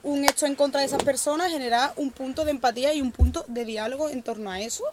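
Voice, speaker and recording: A young woman with an Andalusian Spanish accent talks quickly in a casual group conversation, her voice sitting a little high. She speaks with passion and often restarts her sentences mid-thought. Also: darkish tone, slight background noise. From Andalusia, Spain.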